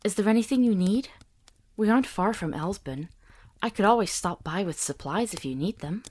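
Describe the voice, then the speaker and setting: A woman playing a kind, tactful traveling companion in a visual novel, gentle and earnest. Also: mid-range voice, animated, moderate pace, lightly acted. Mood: friendly.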